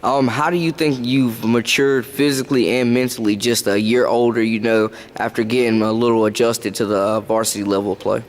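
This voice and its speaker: A man interviewing high school athletes, asking long, loosely built questions. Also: steady tone, brisk, few pauses.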